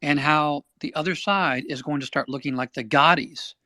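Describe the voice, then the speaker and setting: A man's voice: a little high, normal speed, sharp tone, no room sound, studio quality. A man joining a live audio chat to make an opinionated point, arguing it step by step.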